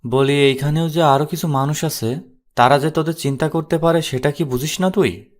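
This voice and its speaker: A man's voice: on the high side, steady tone, moderate pace, natural voice. A man acting a stern, worried father. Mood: angry, worried. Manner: stern, urgent questioning. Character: worried, scolding middle-aged father of a grown son. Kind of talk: Bengali audio story acting.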